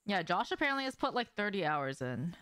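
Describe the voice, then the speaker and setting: A woman's voice: mid-range voice, very animated, brisk, fairly bright tone, dry recording. A young woman chatting casually as she streams a game, informal and prone to swearing, speaking to her viewers in a small room. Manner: informal, swearing, addressing viewers and reacting to gameplay. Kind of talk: casual livestream chat while gaming. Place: small indoor room at home.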